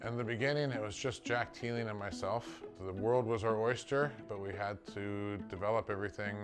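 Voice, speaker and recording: A man's voice: fairly low pitch, steady tone, a little fast. A man from Portland, Oregon, with an American accent, talking about making whiskey in a documentary interview, thoughtful and enthusiastic. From Portland, Oregon, USA. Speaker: distillery's first employee, whiskey maker. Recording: interview with clear music underneath.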